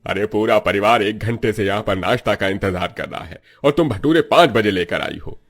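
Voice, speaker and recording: A man's voice: fairly low pitch, lively intonation, normal speed, fairly bright tone. A man voicing a stern, impatient older father-in-law in a cartoon story. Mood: annoyed.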